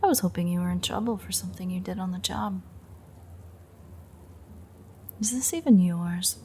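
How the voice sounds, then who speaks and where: A smug vampire villainess, played by a woman, speaking in a lowish voice with a gravelly fry. She toys with her captive in an intimate audio drama, teasing and sardonic with open menace. There is faint noise behind her. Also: warm tone. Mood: teasing, amused. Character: smug, predatory female vampire taunting her captive hunter. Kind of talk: intimate audio roleplay monologue.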